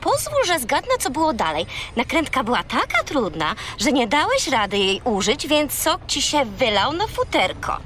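A woman's voice: high-pitched, huge pitch swings, normal speed, few pauses, a bit bright, slight background noise, full character voice. An actress playing a bossy, exasperated young girl who scolds and corrects in a children's radio play.

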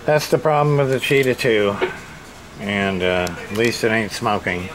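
A man giving casual hands-on repair commentary as a repairman hosting his own video, halting and muttering to himself as he works. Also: lowish voice, animated, background noise. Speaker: radio repairman and video host. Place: indoor repair workbench.

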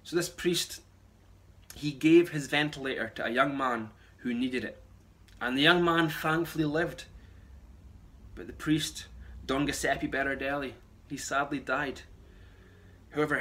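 A man's voice: mid-range voice, measured pace, bright, forceful tone. A man in his thirties giving an earnest, emphatic short sermon to camera indoors. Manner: earnest, emphatic, repeats key phrases. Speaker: pastor. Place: small room at home, indoors.

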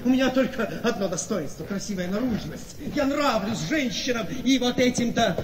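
High-pitched, theatrical, scheming gentleman husband. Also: expressive, crowd noise. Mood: self-justifying, insistent. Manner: emphatic, dramatic, with weighty pauses. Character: vain, scheming handsome gentleman husband, ruined by debts, smoothly persuasive. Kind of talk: theatrical radio play acting. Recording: noisy, long echoing hall reverb.

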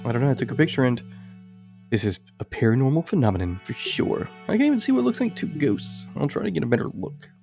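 A man's voice: mid-range voice, very animated, quick pace, creaky, darkish tone, faint music behind. A man reading an eager, curious young investigator's lines from a mystery visual novel, upbeat and inquisitive.

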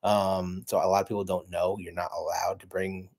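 Chatty man shouting out live viewers. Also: sits low, little pitch movement, moderate pace, husky. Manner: chatty, friendly shout-outs to viewers, many fillers. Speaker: car channel co-host reading live chat. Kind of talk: casual livestream chat. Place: living room, indoors.

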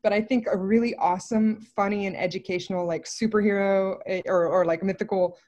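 A woman's voice: medium pitch, a little fast, lo-fi sound. A woman in her thirties, a film director, answering casually on a video call with rambling asides. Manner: rambling, animated phrasing with many asides. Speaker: film writer and director, interview guest. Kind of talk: casual, thinking-aloud interview answers. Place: indoor room on a video call.

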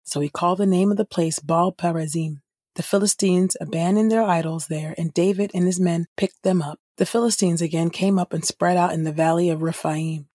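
Husky, low-voiced woman reading scripture. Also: steady tone, a little fast, warm tone, dry recording, studio quality. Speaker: podcast host, scripture reader. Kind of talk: scripted audio Bible reading.

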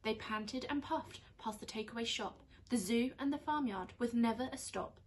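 A young woman reads a rhyming children's storybook aloud in an expressive, teacherly way. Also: on the high side, normal speed, slight background noise. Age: young adult. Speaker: primary school teacher reading aloud. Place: small indoor room on a sofa.

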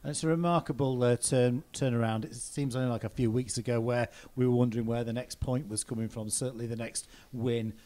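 A man in a mid-range voice puts questions to a footballer after the match. He recaps the play at length and pauses on small fillers. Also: medium pitch, steady tone, dark tone. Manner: summarising play, hesitant fillers. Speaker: club TV interviewer. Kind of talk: post-match interview questions.

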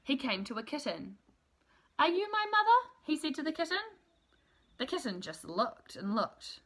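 A woman in her thirties reading a children's storybook aloud in an expressive, deliberate, emphatic way. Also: on the high side, slight background noise. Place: small room at home, indoors.

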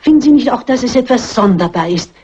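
An actress playing a shrewd, persistent elderly amateur sleuth with polite, pointed manners, in German film dubbing. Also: female voice, fairly high pitch, animated, fast, dark tone, husky. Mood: probing, insistent. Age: older. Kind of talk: dubbed classic crime film dialogue. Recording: muffled old film soundtrack.

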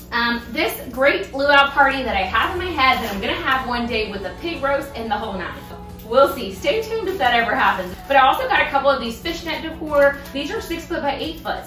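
A woman in her thirties chatting casually about her shopping haul, bubbly and quick to laugh, recorded in a home kitchen. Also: high voice, fairly bright tone, recorded from a distance. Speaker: vlogger. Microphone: camera across the room. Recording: roomy kitchen, voice from off-mic, music behind.

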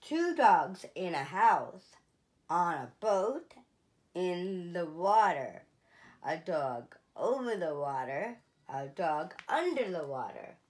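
A woman in her thirties reading a picture book aloud for children, slow and clear, with lively rises on the dogs' exclamations. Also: fairly low pitch. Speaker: children's museum storyteller. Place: small indoor room, seated in an armchair.

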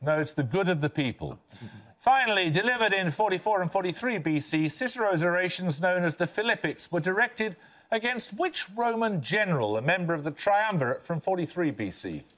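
Older male quiz host, brisk and commanding, voice rising and falling widely, slightly high. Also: lively intonation, fairly bright tone. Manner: crisp, clipped, authoritative delivery. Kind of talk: quiz show hosting. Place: television studio.

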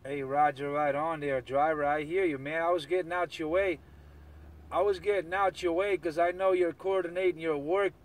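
A man's voice: fairly high pitch, steady tone, moderate pace. A man talking casually in CB radio slang, repeating catchphrases as he operates and records. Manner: repetitive, chant-like catchphrases.

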